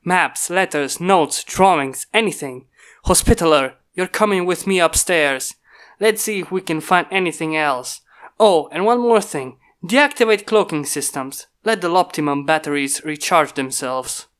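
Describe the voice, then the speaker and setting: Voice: mid-range voice, lively intonation, unhurried, a bit bright, smooth. A reader voicing a commanding human male inventor who gives stern, formal orders to robot bodyguards in a story.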